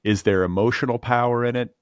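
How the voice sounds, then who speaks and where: A man's voice: mid-range voice, steady tone, fast talker, smooth, no echo, clean studio sound. A man hosting a podcast in a casual interview style, musing informally and circling around his point. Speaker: podcast host.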